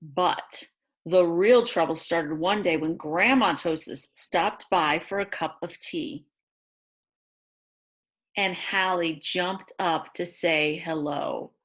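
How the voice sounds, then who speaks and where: A woman reads a picture book aloud to young children in an expressive way, adding chatty asides about the pictures. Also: fairly low pitch, slow pace. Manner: expressive, exclaims and gasps over the pictures. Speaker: read-aloud storyteller for children.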